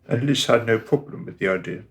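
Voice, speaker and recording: A man narrating a radio play from a script in a measured storytelling manner. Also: on the low side, lively intonation, vocal fry, fairly bright tone, slightly rough.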